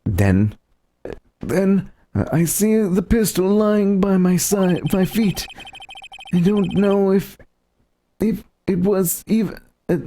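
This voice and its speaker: Haunted, slow-speaking lawyer. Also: male voice, high-pitched, warm tone, slight background noise, heavily acted. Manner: halting, dramatic delivery. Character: haunted, anguished male lawyer reliving a childhood trauma. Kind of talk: dramatized video game dialogue.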